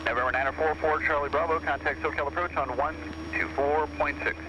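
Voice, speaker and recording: A man's voice: a little high, fairly even intonation, a little fast, muffled recording. A man working as an air traffic controller, giving procedural instructions over an aircraft radio. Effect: aircraft radio transmission. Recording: noisy old aircraft radio.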